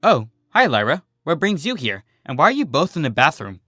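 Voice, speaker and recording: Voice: mid-range voice, sing-song, a little fast, pushed and bright, no echo, clean studio sound, faint music behind. Narrator as a nonchalant, wry female cartoon pony. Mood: casual. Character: laid-back, cider-loving young female pony.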